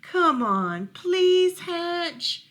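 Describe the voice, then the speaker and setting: Lady doing a keen little chick pal, high n unhurried, gently talking a friend into stuff, storybook read. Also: sing-song, exaggerated voice. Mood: eager, coaxing. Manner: encouraging, gently persuasive. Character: eager, coaxing young chick friend.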